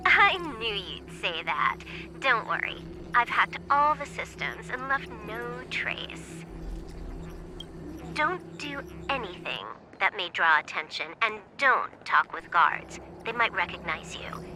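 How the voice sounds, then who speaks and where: A woman's voice: medium pitch, sing-song, slow pace, low-quality recording. An actress playing a young, nonchalant female hacker who teases wryly in a video game, with music behind her. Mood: casual warning. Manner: teasing, nonchalant. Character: playful teasing young female hacker, casual and wry. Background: background music.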